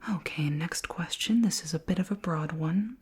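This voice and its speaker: A woman voicing a curious, polite, imperious alien queen in a whispered ASMR roleplay, with slow, formal, deliberate questioning in a soft, breathy whisper. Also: fairly low pitch, slight background noise, close to an everyday voice. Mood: inquisitive, clinical.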